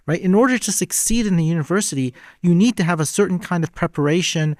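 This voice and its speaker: A man from Egypt, raised in the United States, speaking on a debate panel in a measured, explanatory way. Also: on the high side, darkish tone, no echo, studio quality. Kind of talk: panel discussion.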